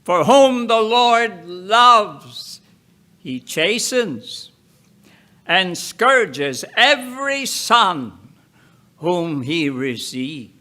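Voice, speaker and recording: Slow, high-pitched man reading scripture dramatically. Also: big pitch swings, sharp tone. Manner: deliberate, emphatic, broken into short phrases with pauses. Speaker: Bible reader.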